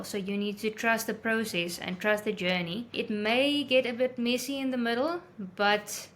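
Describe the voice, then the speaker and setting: A woman from South Africa whose first language is Afrikaans, a guest in an interview, friendly and quick to laugh, with hesitant fillers. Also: mid-range voice, fairly bright tone, slight background noise. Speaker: guest, photographer and travel blogger. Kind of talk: casual interview chat.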